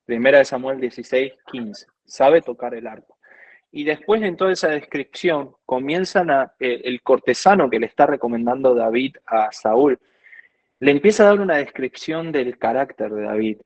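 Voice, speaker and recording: A man's voice: mid-range voice, animated, normal speed, no echo, poor audio. A man from Argentina with an Argentine accent, a guest worship minister teaching earnestly in conversation and quoting scripture at length. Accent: Argentine Spanish.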